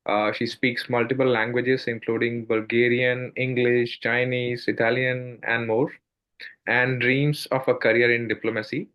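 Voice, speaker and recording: A man's voice: mid-range voice, highs cut off. A man hosting an interview show, reading an introduction and asking questions with frequent uhs and you-knows. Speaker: podcast host.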